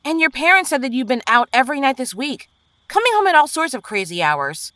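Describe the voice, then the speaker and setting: A woman's voice: high voice, big pitch swings, fairly quick, a bit bright, no room sound, clean studio sound. A woman playing a worried young girlfriend in an audio drama, firm and confronting. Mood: stern, worried. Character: worried, stern young girlfriend demanding answers.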